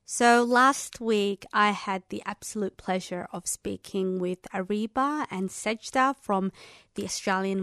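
A woman presents a radio show in a scripted introduction. Her voice is of medium pitch, recorded dry with little room sound. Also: dry recording. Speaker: radio host.

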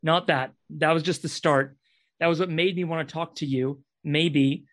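Casting: male actor for desperate, traumatised kidnapper in a radio play, slightly high male voice, clipped, accusatory, insistent. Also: steady tone, moderate pace, fairly bright tone, natural voice. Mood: accusing, insistent. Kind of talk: read radio drama dialogue.

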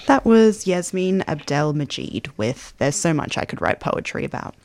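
Radio host, gravelly, dry close mic, brisk sign-off. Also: medium pitch, sing-song, a little mellow, no room sound. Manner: friendly, brisk wrap-up. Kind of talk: radio outro.

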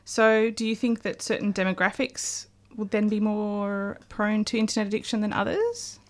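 A woman's voice: mid-range voice, animated, normal speed. A woman hosting a community radio interview, friendly and encouraging, with frequent affirmations. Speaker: radio host.

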